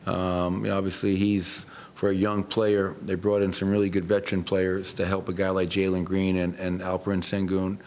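A middle-aged man, a head coach, answering questions at a post-game press conference in a measured, matter-of-fact way with frequent fillers. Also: deep voice, monotone, darkish tone. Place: press room.

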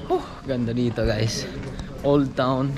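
A man's voice: medium pitch, fairly even intonation, unhurried, crowd noise. A young man from the Philippines vlogging casually as he hikes, chatty and out of breath, with the camera held at arm's length outdoors. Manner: chatty, exclaims at the view, out of breath while climbing. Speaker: travel vlogger. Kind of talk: casual travel vlog, mixing Filipino and English. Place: outdoors on a hillside in an old stone town. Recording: outdoor noise in the background.

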